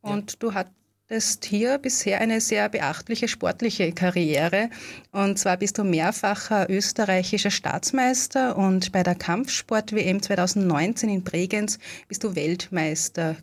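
A woman hosting a podcast, introducing the episode and asking clear, structured questions. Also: medium pitch, unhurried. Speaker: podcast host. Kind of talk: interview, scripted intro.

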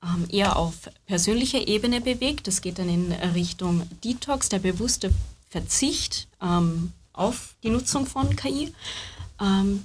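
She is a woman with a medium-pitched voice. She explains in a formal, structured way, like someone presenting research. There is a little noise in the recording. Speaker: panel guest presenting research.

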